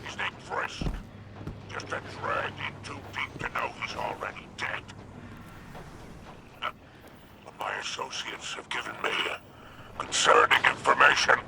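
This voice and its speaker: A man voicing a shady, greedy, sardonic alien crime boss in a video game, with a gravelly, wry drawl. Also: medium pitch, measured pace, pauses often, background noise. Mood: dismissive, irritated. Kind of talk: theatrical video game voice acting.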